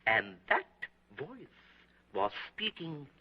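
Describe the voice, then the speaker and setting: An actor playing a stubborn, jovial German restaurant owner giving a witness account in a 1930s film. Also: male voice, on the high side, very animated, husky, heavily acted. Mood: earnest. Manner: slow, sing-song storytelling. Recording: muffled 1930s film soundtrack with long reverb.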